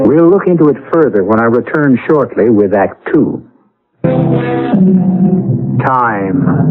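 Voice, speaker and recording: An American man hosting and narrating a radio mystery in a deliberate, portentous scripted style with dramatic pauses. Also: mid-range voice. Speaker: host and narrator. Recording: muffled old radio broadcast. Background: prominent dramatic music.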